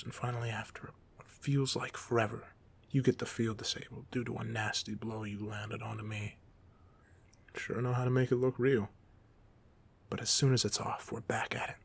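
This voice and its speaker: A man playing a goofy, soft-hearted supervillain off duty in an audio roleplay, talking casually with teasing, self-deprecating humor. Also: lowish voice, breathy edge. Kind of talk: ASMR roleplay monologue, casual conversational acting.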